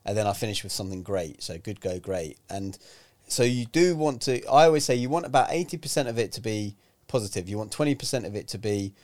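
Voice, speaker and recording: Chatty man in his forties, low voice. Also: animated, quick pace, hardly any pauses, a little mellow. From Greece. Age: 40s. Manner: chatty, explains with examples. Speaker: public speaking coach. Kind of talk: casual podcast chat.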